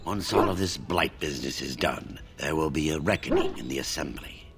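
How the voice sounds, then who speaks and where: A grumbling dwarf fighter, deep, gravelly, uneasy, fantasy game. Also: male voice, expressive, normal speed, heavy background noise, heavily acted. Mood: disgruntled. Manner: grumbling, worried. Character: anxious, sour male dwarf soldier. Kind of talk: fantasy video game dialogue.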